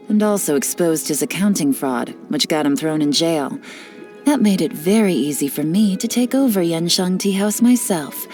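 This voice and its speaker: An actress playing a cool, cunning female spy and information broker, speaking with confident, sly, measured delivery in game voice lines. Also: on the low side, a little mellow, natural voice. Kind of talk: scripted character voice lines.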